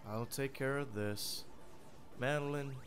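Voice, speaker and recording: A man's voice: mid-range voice, takes it slowly, fairly bright tone. A man playing a smug, intellectual teenage boy in an audiobook, with a formal, condescending manner. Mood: cool, assured. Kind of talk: audiobook character acting.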